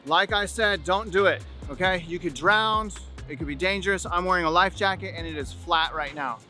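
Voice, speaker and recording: Guy in his 40s, pretty high voice, casual outdoor vlog, talks to viewers and repeats stuff for emphasis. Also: moderate pace, bright, forceful tone, soft background music. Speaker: fishing channel host. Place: outdoors on an open beach and in a kayak at sea.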